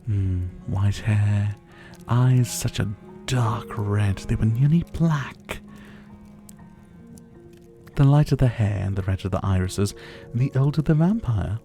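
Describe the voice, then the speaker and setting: Man playing a dark-voiced, drawling vampire captor. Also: on the low side, faint music behind. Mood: wry, amused. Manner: slow, drawling, mockingly polite, with breathy chuckles. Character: menacing, teasing male vampire captor, silver-haired, about seventy. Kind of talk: intimate ASMR roleplay monologue.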